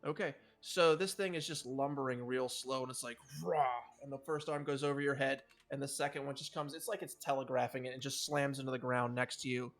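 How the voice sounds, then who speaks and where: A man's voice: medium pitch, steady tone, fairly quick, hardly any pauses, fairly bright tone, soft background music. A man narrating a tabletop game as game master in a casual, loose, improvised way. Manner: casual, swearing.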